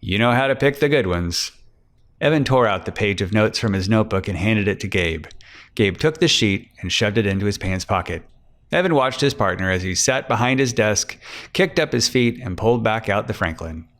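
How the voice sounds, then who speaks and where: A man's voice: on the low side, expressive, fairly quick, fairly bright tone. A man giving scripted narration for a crime fiction audiobook.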